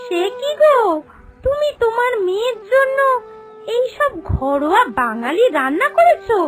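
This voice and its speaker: A woman's voice: very high voice, very animated, measured pace, soft background music, full character voice. A woman voicing a mocking older village auntie in a cartoon, disdainful and nosy. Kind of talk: animated cartoon dialogue.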